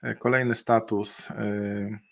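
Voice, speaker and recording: A man's voice: fairly low pitch, little pitch movement, vocal fry, fairly bright tone. Middle-aged man presenting a webinar. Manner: halting, many fillers and restarts, slow deliberate pace in places. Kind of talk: explaining a partner bonus program over slides. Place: indoor room, video call.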